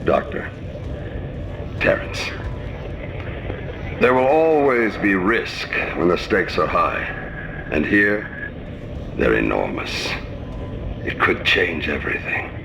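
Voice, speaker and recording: An actor playing a devout, self-assured ship captain who talks with condescending conviction. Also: male voice, low-pitched, sing-song, takes it slowly, creaky, long pauses, heavily acted. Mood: dismissive, persuasive. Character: zealous, dismissive ship captain, devout believer. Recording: dull, noisy ship ambience.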